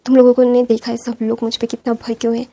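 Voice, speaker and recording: A woman voicing a young male mafia boss who earnestly pleads to be heard, in a rapid, flat, mellow everyday voice. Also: fairly high pitch, vocal fry. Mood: pleading.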